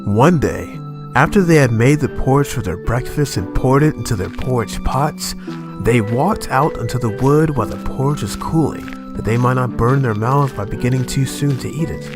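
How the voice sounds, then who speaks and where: Man, storybook narration, expressive, mid-pitched, widely varied intonation, faint music. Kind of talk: scripted children's storybook narration.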